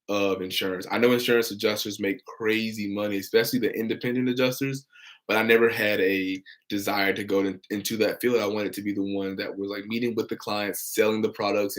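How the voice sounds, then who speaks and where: A man's voice: fairly low pitch, a bit bright. A young man, hosting a casual livestream Q&A about his insurance work. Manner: upbeat and encouraging, reads out and answers viewer comments. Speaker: insurance broker and livestream host.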